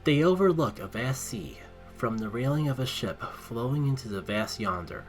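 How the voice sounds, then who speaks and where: A man with a mid-pitched voice works as an online storyteller, speaking with expressive, dramatic emphasis. Music plays clearly behind his narration. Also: moderate pace. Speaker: video creator and storyteller. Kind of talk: casual intro, then reading own written story aloud.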